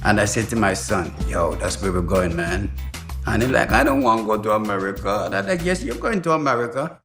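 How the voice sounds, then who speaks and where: A man's voice: on the low side, animated, brisk. An older man, a father, speaking plainly in a documentary interview and quoting his own past words. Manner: plainspoken, quotes himself in dialogue. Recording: music bed under voice.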